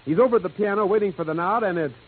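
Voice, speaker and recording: A man's voice: sits high, rapid-fire. An American man hosting a 1940s radio music show, announcing in showy, jive-talking patter. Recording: old, noisy, narrow-band radio broadcast.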